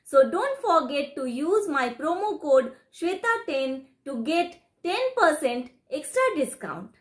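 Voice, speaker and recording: Very high-pitched Indian woman. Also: very animated, a little slow. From West Bengal, India. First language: Hindi. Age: middle-aged. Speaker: English learner and video host. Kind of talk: earnest spoken English practice and presenting.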